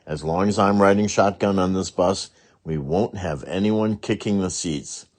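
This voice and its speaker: Man playing storybook bus aide, stern, bossy, firm, admonishing, lower pitch, slow delivery.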